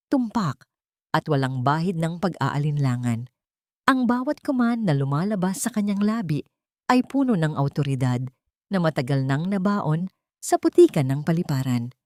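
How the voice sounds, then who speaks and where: A woman narrates dramatic fiction in a somewhat low voice. Her delivery is solemn and full of suspense, as in an audiobook. The sound is dry, with very little room in it. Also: darkish tone, dry recording. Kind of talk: dramatic scripted story narration.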